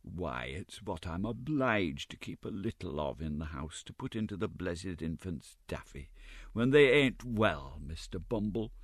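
A man voicing a fawning, scheming middle-aged woman nurse with a shrill, gushing, wheedling manner. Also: very animated, slow, sharp tone, no echo, studio quality, exaggerated voice.

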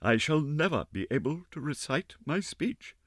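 A man's voice: fairly high pitch, big pitch swings, normal speed, no room sound, clean studio sound, pitched above the performer's own voice. A man voicing a haughty leading ballerina in a storybook reading, reproachful in tone. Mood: anxious.

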